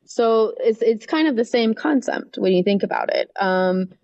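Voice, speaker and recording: A woman who coordinates English learner programs, talking through classroom advice in a relaxed, thoughtful way with frequent restarts. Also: a little high, moderate pace, creaky, highs cut off. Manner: thoughtful, restarts often, many "um" and "right?". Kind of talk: casual podcast interview.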